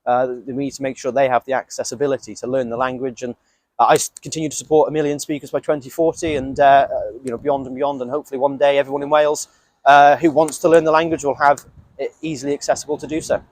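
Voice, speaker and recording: A man's voice: mid-range voice, flat delivery, fast talker, a little mellow, dry recording. A man giving an off-the-cuff interview answer as an election candidate.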